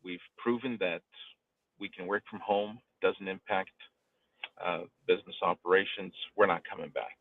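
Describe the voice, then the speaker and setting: A man explaining things in casual talk with measured, deliberate phrasing, heard through thin band-limited audio. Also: low-pitched, fairly even intonation, phone-quality sound, a little hiss or hum.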